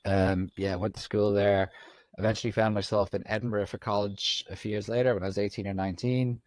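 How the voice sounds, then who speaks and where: A man in his forties with an Irish accent, from Ireland, telling his story as a podcast guest in a reflective, halting way. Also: on the low side, little pitch movement, darkish tone, dry recording. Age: 40s. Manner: reflective, frequent ums and restarts. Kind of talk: candid conversational storytelling.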